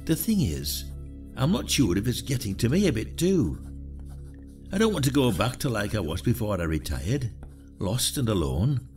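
A man's voice: on the low side, very animated, moderate pace, a little mellow, faint music behind. A British man acting a weary, self-doubting retired detective in an audio drama. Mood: troubled, confiding. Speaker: actor in a podcast drama.

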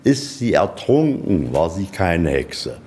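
A man with a very low, soft-edged voice leads a museum tour. He talks in plain, colloquial language and lays out each exhibit one step at a time. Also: sing-song, takes it slowly. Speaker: museum guide. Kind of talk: explaining exhibits on a guided tour. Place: inside a museum, on location.

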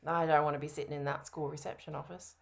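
A woman's voice: sits low, little pitch movement, fast, vocal fry. A woman in her thirties or forties chatting casually and a little hesitantly as a client on a video call. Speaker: prospective client in a sales roleplay. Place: bright home room, video call.

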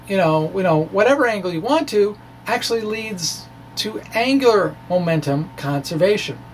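A middle-aged man gives an informal explanatory physics talk in a chatty, halting way, with frequent asides. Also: sits high. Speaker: science blogger presenting a physics lecture. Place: small indoor room with bookshelves. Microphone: handheld-style microphone on a stand in front of him. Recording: clear background noise, room ambience.

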